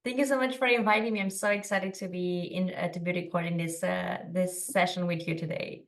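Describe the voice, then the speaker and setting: A woman in her thirties, originally from Romania, talks through her career as a podcast guest, quick and chatty, with plenty of "like" and "um". Her voice sits fairly low, and she sounds set back from the microphone. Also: fairly even intonation, recorded from a distance. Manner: quick, chatty, many fillers and "like". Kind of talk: casual interview storytelling.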